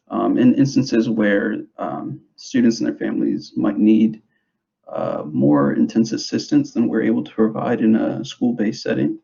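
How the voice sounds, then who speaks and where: A young man who grew up in the United States, giving an informal presentation to parents in a hesitant, halting way, on a dull, muffled recording. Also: medium pitch, creaky, warm tone. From grew up in the United States, born in El Salvador. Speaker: school substance abuse prevention specialist.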